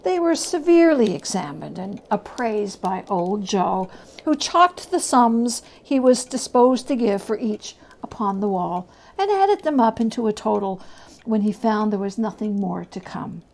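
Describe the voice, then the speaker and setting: A woman giving a dramatic literary reading as storyteller, deliberate and expressive, at times hushed. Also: medium pitch, creaky.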